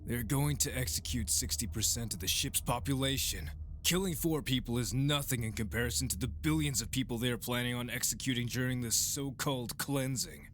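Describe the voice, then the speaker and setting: A man's voice: mid-range voice, a little fast, fairly bright tone, a little hiss or hum, lightly acted. A man playing a stern rebel leader. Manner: stern, impatient commands. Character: gruff male rebel leader, commanding, weary. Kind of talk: audio drama acting.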